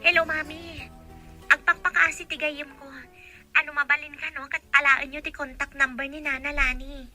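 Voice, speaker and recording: A woman acting as a helpful young daughter with an Ilocano accent in a radio drama. Her voice is very high and bright, with an eager, loyal friend's manner. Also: little pitch movement, moderate pace, faint music behind. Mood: pleading.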